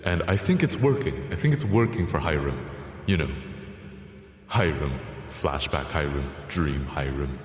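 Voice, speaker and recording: A man's voice: very deep voice, lively intonation, measured pace, echoey room, in a large hall. A man, a voice actor, chatting casually in a musing, hesitant way.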